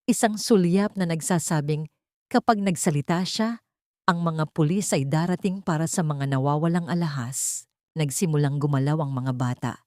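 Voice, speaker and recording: A woman's voice: deep voice, moderate pace, warm tone, no echo, clean studio sound. A woman with a Filipino accent reading a dramatic scripted audiobook story as narrator.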